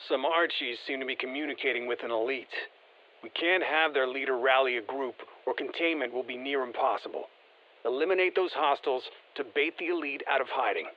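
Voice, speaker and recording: Wry operator over dull radio. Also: male voice, medium pitch, fairly quick. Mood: wry, focused. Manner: colloquial, wry, plain-spoken. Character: easygoing male special forces operator giving mission instructions. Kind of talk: video game mission radio callouts.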